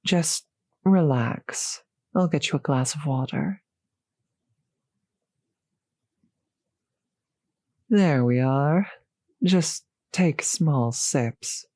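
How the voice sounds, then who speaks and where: A woman playing a courteous, gentle centuries-old vampire host in a roleplay audio drama, speaking politely and slightly formally to the listener, with soft hesitations. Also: deep voice, takes it slowly, no room sound, studio quality, exaggerated voice. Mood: soothing concern. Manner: polite, softly hesitant, old-fashioned genteel phrasing.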